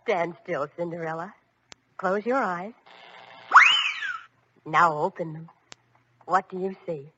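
A woman's voice: lowish voice, slow pace, long pauses. A woman playing a kindly, commanding fairy godmother in an old-time radio children's play, reassuring and theatrical as she gives instructions. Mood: gentle, awed. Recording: muffled, noisy vintage radio recording.

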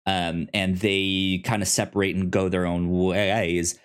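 A man co-hosting a podcast in casual review chat, halting and often stammering over his words as he explains. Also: deep voice, level tone, smooth. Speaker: podcast co-host.

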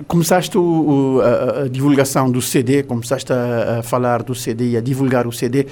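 A man with a Cape Verdean Portuguese accent hosting a radio interview in the studio, often repeating words and filling pauses. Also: mid-range voice, fairly even intonation, darkish tone. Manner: halting, repeats words and uses fillers. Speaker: radio host.

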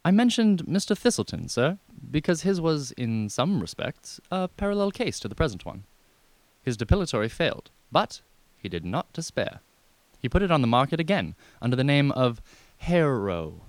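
A man's voice: fairly high pitch, very animated, dry recording. A man playing a deferential, unflappable valet who speaks formally and at length in a comic radio drama. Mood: discreetly persuasive. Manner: formal, measured, circumlocutory.

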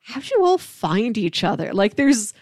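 A woman's voice: on the high side, sing-song, measured pace, darkish tone, dry recording, clean studio sound. A woman co-hosting a casual film discussion, retelling things in imagined quotes as she goes. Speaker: podcast co-host.

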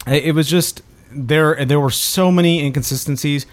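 A man's voice: on the high side, moderate pace. A man hosting a podcast, talking casually as he discusses films. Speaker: podcast host reviewing films.

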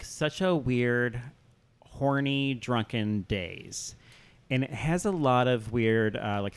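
A man hosting a podcast in casual, comedic chat, talking conversationally with lots of filler "like"s. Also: mid-range voice. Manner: conversational, peppered with "like". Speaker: podcast host. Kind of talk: casual comedic chat recapping a music video.

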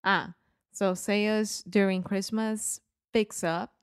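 A woman's voice: medium pitch, steady tone, slow pace, smooth, no echo, clean studio sound. A woman with a Brazilian accent, a native Portuguese speaker, co-hosting a casual English lesson as a learner who asks questions and tries out examples. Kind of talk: casual conversational language lesson.

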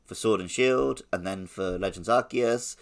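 Low, creaky-voiced man chatting casually. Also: a little slow. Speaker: podcast co-host. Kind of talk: casual chat.

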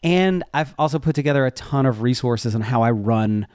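A man's voice: mid-range voice, lively intonation, normal speed, a little mellow, clear, resonant tone, no room sound, clean studio sound. A man talking casually as a podcast guest, candid and conversational as he explains things from his own experience. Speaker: podcast guest, web development educator. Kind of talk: casual interview talk.

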